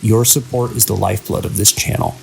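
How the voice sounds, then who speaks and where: A man's voice: lowish voice, brisk, gravelly, soft background music. A man reading a scripted, atmospheric introduction as a channel host.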